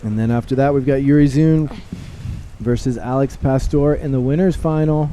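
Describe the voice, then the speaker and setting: A man doing live sports commentary, chatting and joking with his co-host in a relaxed way. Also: medium pitch, normal speed, a little hiss or hum.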